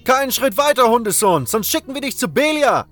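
A man voicing an aggressive bandit guard in a fantasy audio drama, shouting threats, with music underneath. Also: very high-pitched, big pitch swings, brisk, pitched above the performer's own voice, full character voice. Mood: hostile shouting.

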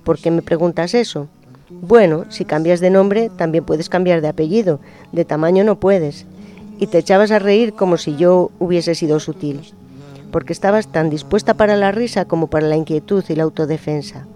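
A man on a radio program, reading a personal letter aloud in a slow, intimate, reflective way. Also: a little high. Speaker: radio presenter. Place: radio studio. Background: soft guitar music.

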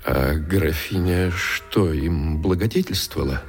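An actor playing a curious, pensive Tsarist-era gentleman in a radio drama, musing and questioning. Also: low voice, measured pace, breathy edge. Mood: astonished, pensive. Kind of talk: period radio drama acting. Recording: radio drama with music behind.

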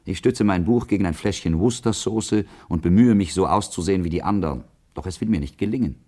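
A low-voiced bitter male outsider in a radio drama. He broods in a measured, literary monologue, self-conscious and set apart from everyone around him. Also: warm tone. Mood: brooding, observant. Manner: measured, literary, introspective delivery.

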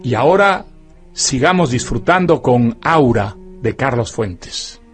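A man's voice: medium pitch, expressive, takes it slowly. A man announcing a radio drama programme in a scripted, formal ident style. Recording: music bed under the voice.

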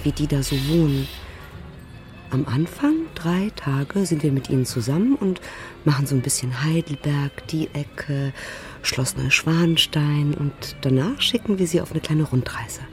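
A woman's voice: low-pitched, moderate pace, faint music behind, natural voice. A woman playing an outspoken, exasperated partner in a cross-cultural couple, retelling their exchanges in a chatty, conversational way for a radio drama. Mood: matter-of-fact. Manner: chatty, animated retelling, quoting both sides.

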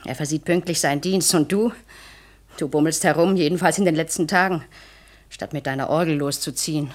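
A woman's voice: low voice, brisk. A woman playing a cold, scornful wife in a 1960s German radio play, speaking curtly and contemptuously. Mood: scornful, nagging. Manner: curt, contemptuous. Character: cold, scornful wife belittling her husband.

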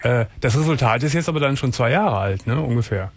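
A man hosting a late-night radio call-in show, greeting listeners and gently asking a caller about her life. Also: medium pitch, fairly quick, a little hiss or hum. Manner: calm, attentive, probing questions. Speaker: radio talk show host. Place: radio studio.

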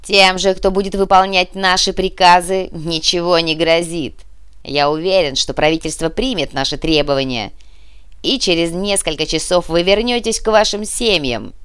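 A woman voicing a ruthless male terrorist leader in an audiobook, giving loud, deliberate orders with cold menace. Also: low voice, lively intonation, clean tone. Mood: coldly threatening. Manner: loud barked orders, drawn-out deliberate phrasing. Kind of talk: audiobook character acting.

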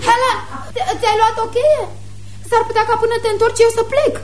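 A high, youthful female voice plays a cheeky, resentful teenage daughter in radio theatre. She teases and challenges in a pert, girlish way. A slight hum sits under the recording. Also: fairly even intonation. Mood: resentful, demanding.

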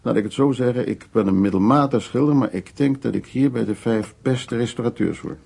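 An actor playing a worldly, self-assured, flirtatious middle-aged art restorer, speaking in short, familiar, unhurried phrases in a naturalistic radio drama. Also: male voice, medium pitch, gravelly, darkish tone, husky. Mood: relaxed self-assurance.

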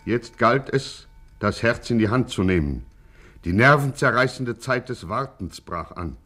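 An actor narrating a vintage German radio crime satire with mock-dramatic suspense. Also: male voice, medium pitch, expressive, measured pace, pushed and bright, soft background music. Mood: mock-grave suspense. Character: mock-grave narrator of a gangster serial.

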